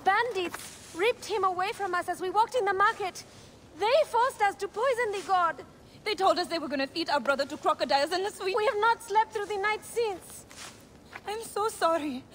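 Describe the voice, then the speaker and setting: An actress playing a frightened, remorseful young priestess in a video game, pleading and distraught. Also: female voice, very high voice, moderate pace, fairly bright tone, slight background noise. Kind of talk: dramatic video game acting.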